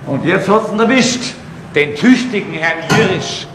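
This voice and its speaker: An actor with a Bavarian accent playing a guarded, grumbling workshop employee in a radio crime drama, speaking curtly. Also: male voice, sits high, lively intonation, measured pace, fairly bright tone. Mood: bitter, sarcastic. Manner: curt, grumbling. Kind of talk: 1970s German radio crime drama acting. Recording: noisy old radio play recording.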